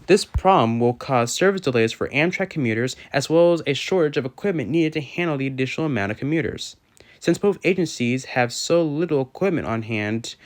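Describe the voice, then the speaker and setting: A man narrating an informational video from a script in a formal, careful way, occasionally stumbling over a word. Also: medium pitch. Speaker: railfan video host.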